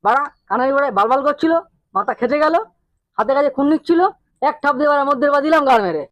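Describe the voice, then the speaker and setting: A man's voice: extremely high pitch, expressive, very fast, a bit bright, a little hiss or hum. A man voicing an angry, defiant, foul-mouthed construction labourer, ranting coarsely in a comedy cartoon.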